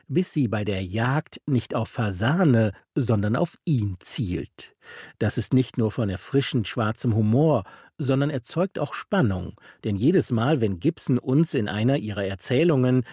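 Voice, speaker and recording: A man delivering a scripted radio book review in a measured, articulate way. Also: mid-range voice, expressive, dark tone, slightly rough, dry recording, studio quality. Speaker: literary critic reporter.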